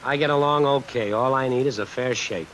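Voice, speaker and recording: A young working-class tough guy, played by a male actor in old radio drama, with a forceful, sharp edge. He is terse and defiant, bristling at unfairness. Faint hiss and rain sit on the vintage recording. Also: mid-range voice, a little fast, lightly acted, rough recording. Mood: defiant, aggrieved. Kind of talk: 1950s radio drama acting.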